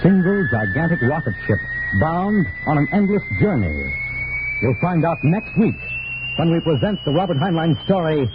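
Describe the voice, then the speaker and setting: A male announcer reading a script in 1950s radio style, with a dramatic, deliberate build-up. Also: fairly high pitch, animated, heavy background noise. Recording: thin phone-like vintage broadcast, loud music.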